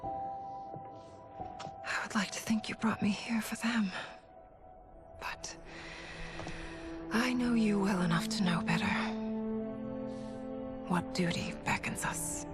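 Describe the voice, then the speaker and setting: A woman playing a tender, wistful but resolute warrior queen in a video game, breathy, earnest and formal. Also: medium pitch, slow pace, pauses often, whispered, loud music behind the voice, natural voice. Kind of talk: video game cutscene acting.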